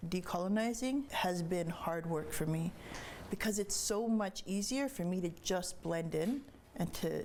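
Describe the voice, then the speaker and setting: A woman's voice: fairly low pitch, a little mellow, a little hiss or hum. A woman, an activist, speaking earnestly and reflectively in a documentary interview, often restarting her sentences. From Canada.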